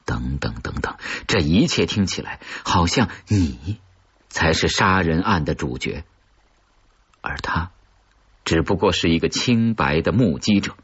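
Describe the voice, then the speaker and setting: A man reads horror fiction in a deep, dark-toned storyteller's voice. His delivery is brooding and dramatic, with a husky edge. The recording is dull and lo-fi. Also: lively intonation, unhurried. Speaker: audiobook narrator of horror fiction. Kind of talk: scripted suspense narration. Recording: dull, muffled lo-fi audio.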